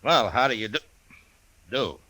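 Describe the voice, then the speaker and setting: An actor playing a dry, world-weary American frontier marshal, laconic and understated, in a 1950s radio western. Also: male voice, mid-range voice, very animated, a little slow, bright, forceful tone, no echo, exaggerated voice. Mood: polite, guarded.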